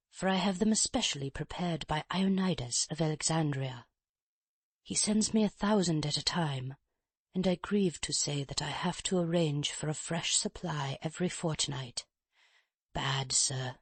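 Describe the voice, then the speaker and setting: A reader doing a frail old professor in an audiobook, a chain-smoking invalid with a mincing, overly courteous way of speaking. He sounds subdued and self-pitying, a little lower and softer than the narration. It is recorded close and dry. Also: slightly rough, no echo. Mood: mournful. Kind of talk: audiobook character reading.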